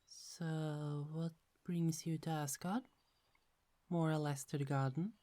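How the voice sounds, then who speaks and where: A woman voicing a gentle, chatty young goddess in an ASMR roleplay, speaking softly with frequent little laughs. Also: low-pitched, steady tone, slow. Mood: friendly. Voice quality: soft, half-whispered, giggly.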